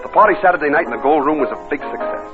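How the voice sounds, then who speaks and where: Man playing a hardboiled, fast-talking radio noir tough guy. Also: a little high, expressive, lightly acted. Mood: wry. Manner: hardboiled, slangy, clipped. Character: tough, world-weary male narrator-protagonist of a crime story. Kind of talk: 1940s radio noir acting and first-person narration. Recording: tinny old radio recording with organ music.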